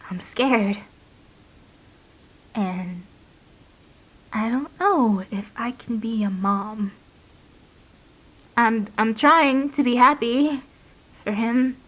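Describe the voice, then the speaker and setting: Audio drama: uneasy, guarded young mother, fairly high voice, halting confessional monologue, emotionally raw. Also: low-quality recording. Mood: subdued, unsure. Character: anxious, guarded young woman, reluctant new mother recording a diary.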